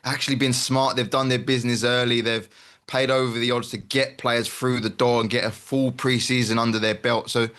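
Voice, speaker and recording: Candid male football pundit, mid-pitched. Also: little pitch movement, a little fast, a bit bright. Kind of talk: casual football analysis.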